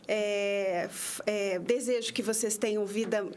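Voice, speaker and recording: A woman's voice: medium pitch, fairly even intonation, measured pace, darkish tone, a little hiss or hum. A woman in her fifties from the interior of São Paulo, a prosecutor guesting on a podcast, telling her story in a hesitant way with many restarts. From interior of São Paulo state, Brazil. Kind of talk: conversational interview.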